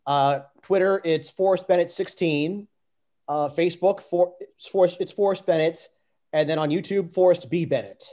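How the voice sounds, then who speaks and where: Halting man with a slightly high voice. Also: warm tone. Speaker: panelist on a script club livestream. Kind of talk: casual group chat.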